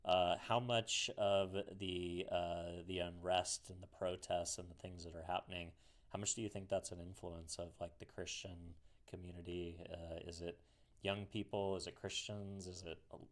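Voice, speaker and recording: A man's voice: low voice, little pitch movement, normal speed. A man hosting a podcast interview, asking questions in a hesitant way with many fillers and restarts. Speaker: podcast host. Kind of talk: interview conversation.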